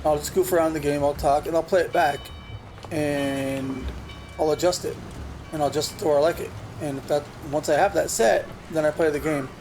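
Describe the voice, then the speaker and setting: A man hosting a gaming video, chatting casually over his play on a desk USB mic and thinking aloud with restarts. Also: on the high side. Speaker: gaming channel host. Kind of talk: casual gameplay commentary. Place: home computer setup. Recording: noisy game sound behind voice. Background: truck game sounds.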